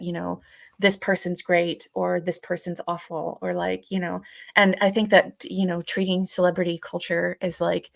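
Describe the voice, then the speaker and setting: A woman with a fairly low voice and a strong vocal fry talks casually as a podcast co-host. She feels her way through each thought in a halting, hesitant manner. The sound is dry and close. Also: no echo. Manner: hesitant, halting, thinking aloud. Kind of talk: casual discussion.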